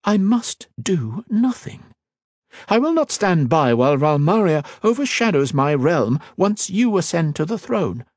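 A man voicing a proud, wary queen who speaks in a haughty, cutting, measured way. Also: on the high side, very animated, a little mellow, dry recording, pitched above the performer's own voice. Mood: commanding.

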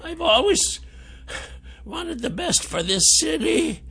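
A man voicing a frail, withered old man who is timid and crafty, with a trembling, creaky, whiny voice. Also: very animated, slow pace, bright, forceful tone, full character voice. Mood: pleading. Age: older. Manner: whiny, pleading, high strained voice.

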